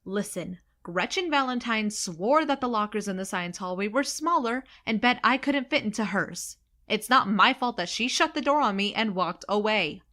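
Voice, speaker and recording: A woman's voice: medium pitch, expressive, normal speed, sharp tone. A young woman with an American accent playing a cocky, irreverent best friend who teases playfully in an audio drama. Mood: mock defensive. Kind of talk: naturalistic audio drama acting.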